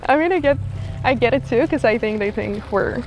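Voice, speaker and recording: A woman's voice: on the high side, lively intonation, fairly quick, rough recording. A woman with a Bulgarian accent making casual, coy, giggly small talk. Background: background music.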